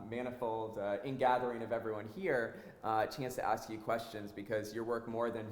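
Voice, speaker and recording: A man interviewing an artist onstage before a live audience, speaking hesitantly with many fillers and warm admiration. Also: fairly low pitch. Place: gallery hall. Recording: roomy hall with faint ambience.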